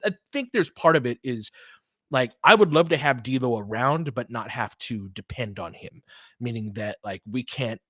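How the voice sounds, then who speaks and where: A man in his forties doing casual play-by-play of a basketball game, chatty and excitable, thinking aloud between plays. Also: medium pitch, moderate pace, no room sound, studio quality. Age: 40s. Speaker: play-by-play host. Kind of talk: casual fan play-by-play commentary.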